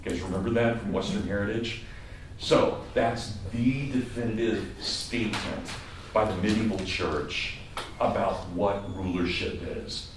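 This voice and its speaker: Man, Kansas accent, college lecture, animated storytelling, slightly low voice, faint room noise. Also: measured pace. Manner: animated storytelling, repeats words for emphasis. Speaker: college professor. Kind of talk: classroom lecture. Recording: echoey classroom from far off, faint noise.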